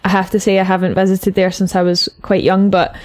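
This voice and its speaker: A woman's voice: mid-range voice, completely flat delivery, fairly quick, a little mellow. A woman from Glasgow, speaking as a podcast host in introductions and casual interview chat. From Glasgow, Scotland.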